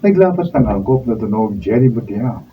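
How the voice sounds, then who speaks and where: A Filipino man narrating as a radio drama announcer, dramatic and deliberate, reading scripted closing lines. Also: medium pitch, lively intonation. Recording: muffled distant mic, faint noise.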